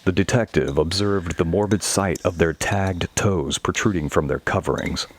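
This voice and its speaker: Man reading a horror audio story, really deep voice, little bit of noise behind. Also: moderate pace. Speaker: narrator. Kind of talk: scripted horror story narration.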